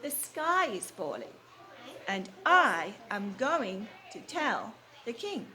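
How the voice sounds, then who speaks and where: A woman's voice: a little high, big pitch swings, takes it slowly, sharp tone, heavily acted, low-quality recording. A woman voicing an alarmed, earnest little hen from a children's storybook, with exclamatory delivery.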